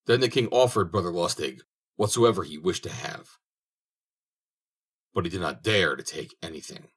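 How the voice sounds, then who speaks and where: Deep husky male storyteller. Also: a bit bright. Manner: measured storytelling. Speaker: narrator. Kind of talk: audiobook narration.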